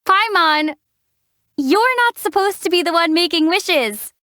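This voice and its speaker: Game lead: young female traveler, calm and deadpan teasing, high lively voice, dry booth. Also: measured pace, dry recording, full character voice. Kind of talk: studio game voice acting. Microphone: studio mic.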